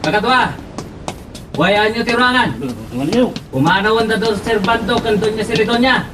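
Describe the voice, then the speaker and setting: A man's voice: high-pitched, moderate pace, noisy background, lightly acted. A man with an Ilocano accent playing a brutal, mocking henchman in a radio drama. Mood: urgent.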